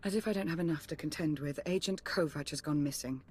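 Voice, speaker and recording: A woman playing a cool, calculating intelligence chief in a video game cutscene, measured, clipped and dry. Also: deep voice, a little mellow, husky, faint music behind. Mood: dry, businesslike.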